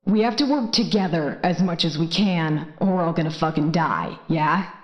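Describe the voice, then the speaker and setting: An actress playing an exasperated facility leader, firmly and bluntly scolding staff over an intercom in an audio drama. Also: female voice, lowish voice, moderate pace. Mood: stern, exasperated. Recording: echoey, dull intercom sound.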